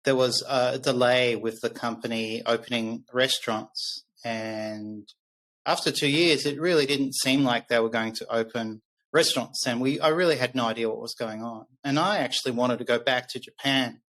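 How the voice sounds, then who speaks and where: A man's voice: mid-range voice, steady tone, normal speed, fairly bright tone, rough recording. A middle-aged man with an Australian accent telling personal stories conversationally as a podcast guest, candid and reflective.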